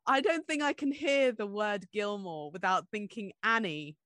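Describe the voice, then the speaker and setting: A woman's voice: mid-range voice, moderate pace, a bit bright, dry recording. A woman co-hosting a podcast, chatting casually about books. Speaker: podcast co-host. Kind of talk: casual book chat.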